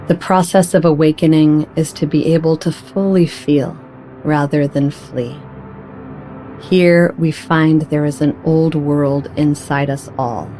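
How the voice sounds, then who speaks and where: A woman with a low, mellow voice reads poetic spiritual meditation very slowly. Her phrasing is solemn and deliberate, in the manner of an audiobook narrator.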